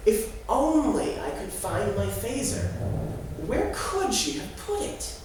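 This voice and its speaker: A man's voice: extremely high pitch, sing-song, slow pace, a little mellow, recorded from a distance, voice pushed up, heavily acted. A man playing exaggerated, playful pantomime characters in a declamatory stage manner. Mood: theatrical searching. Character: exaggerated pantomime characters bickering and hinting to audience. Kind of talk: pantomime stage acting demonstration. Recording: very echoey, noisy room from afar.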